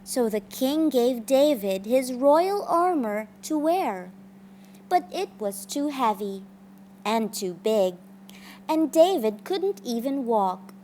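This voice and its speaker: Female children's storyteller: unhurried, dramatic read-aloud, higher register. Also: animated, dark tone, slight background noise. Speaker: storyteller, teacher.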